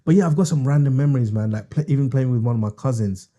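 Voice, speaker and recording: A man's voice: medium pitch, fast, mellow. A man from Bangladesh, co-hosting a podcast in a laid-back, slangy way. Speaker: podcast co-host. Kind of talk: casual chat.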